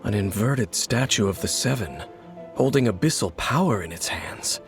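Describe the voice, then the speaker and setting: An actor playing a mysterious, grave wanderer who hunts the Abyss, speaking in a measured and cryptic way in video game voice acting. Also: male voice, fairly low pitch, animated. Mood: solemn. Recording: game audio with loud music.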